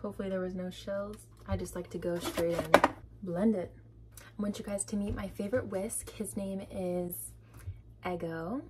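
A woman's voice: medium pitch, fairly even intonation, normal speed, background noise. Chatty young woman baking at home. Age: young adult. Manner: chatty, upbeat, narrating each step as she goes. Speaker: vlogger. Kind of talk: casual bake-with-me vlog. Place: home kitchen, indoors.

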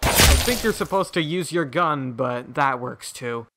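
A man with a mid-pitched voice reads scripted game commentary. He takes his time over each line. Clicking and shattering game noise is loud behind him. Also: expressive, measured pace. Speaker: game announcer. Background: game sound effects.

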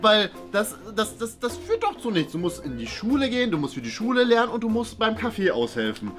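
A man's voice: high voice, very animated, fairly quick, fairly bright tone, soft background music. A young man playing a strict, exasperated father who lectures in a flustered, hesitant way in a family sketch. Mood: stern, irritated. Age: young adult. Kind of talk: improvised family comedy sketch acting. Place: small indoor room.

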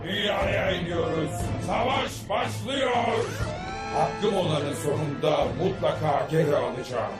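A man's voice: a little high, measured pace, close to an everyday voice. A man voicing an imperious, ranting megalomaniac villain commander in a dubbed cartoon. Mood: ranting, triumphant. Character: megalomaniac villain commander bent on conquering Earth. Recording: echoey voice under loud music.

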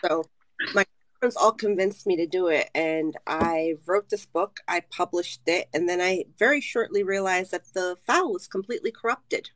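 A woman, a Spanish native speaker, talks over a webcam in a dry, close sound. She tells her author story with open, animated candor at an ordinary pitch. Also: moderate pace, dry recording, poor audio. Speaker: author guest. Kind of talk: casual video call panel chat. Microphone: video call webcam.

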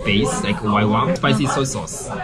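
A man's voice: lowish voice, a little slow, over loud music. A man hosting a casual dinner interview in English, asking questions with lots of fillers. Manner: friendly, prompting questions, filler-heavy. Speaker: host. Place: small restaurant indoors.

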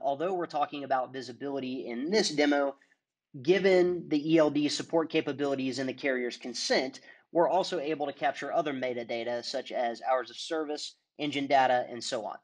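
A man talks through a software demo over a screen share. He explains each step in a steady, clear presenter's manner, and his voice sits at an everyday middle pitch. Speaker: product demonstrator.

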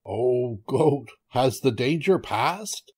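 A man voicing a big savage tiger in a storybook, greedy yet easily frightened, pleading and flattering in drawn-out phrases. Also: medium pitch, slightly rough. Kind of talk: storybook character voice.

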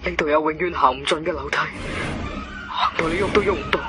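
Man acting frightened, trapped young Cantonese man, high-pitched. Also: over music, natural voice. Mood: despairing, subdued. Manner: halting, repeats himself in distress. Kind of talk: Cantonese radio drama acting.

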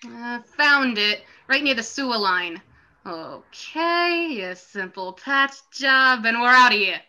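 A woman's voice: a little high, animated, slow, sharp tone. A young woman on a video call, giving a casual dramatic reading of a film script's lines. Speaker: participant reading Mario's lines. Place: room at home.

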